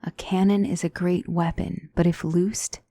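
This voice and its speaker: A woman playing a gentle demonic tutor in a scripted ASMR roleplay, speaking softly and deliberately as a calm battle mentor. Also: lowish voice, dry recording. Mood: calm, instructive. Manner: measured, deliberate, soft-spoken, instructive.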